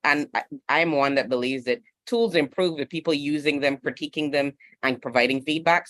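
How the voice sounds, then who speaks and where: A man's voice: medium pitch, a bit bright, no echo. A man speaking off the cuff on a panel, conversational and thoughtful, often dropping in "you know". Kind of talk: unscripted panel discussion.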